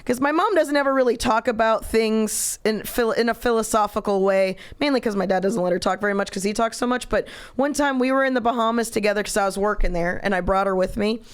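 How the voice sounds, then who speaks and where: A woman's voice: on the high side, fast, hardly any pauses, smooth. A woman comedian chatting casually as a podcast guest, with a blunt, matter-of-fact, rambling way of talking. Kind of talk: casual philosophical chat.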